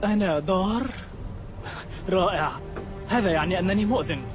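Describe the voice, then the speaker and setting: A man playing an eager, naive young hero in a dubbed cartoon, with an excitable, questioning manner. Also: sits high, brisk, over music, close to an everyday voice. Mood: delighted. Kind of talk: dubbed cartoon acting in formal Arabic.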